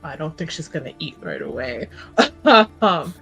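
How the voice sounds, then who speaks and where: A woman casually improvising her character's actions as a tabletop player, informal and halting. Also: deep voice, very animated, creaky, fairly bright tone, soft background music, low-quality recording.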